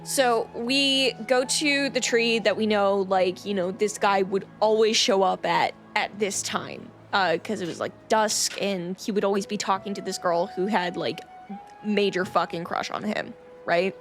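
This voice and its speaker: A woman game streamer talks casually with her viewers over clearly audible background music. Her voice sits at a middle pitch. She rambles through a story recap, playful and easily sidetracked. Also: a little slow.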